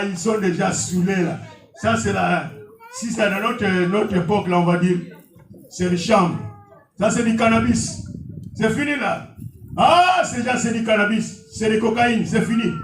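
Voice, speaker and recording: A man whose first language is Portuguese, preaching an impassioned sermon in a church and often shouting. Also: high-pitched. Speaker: pastor. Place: church hall. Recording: echoey hall, distant mic, faint music.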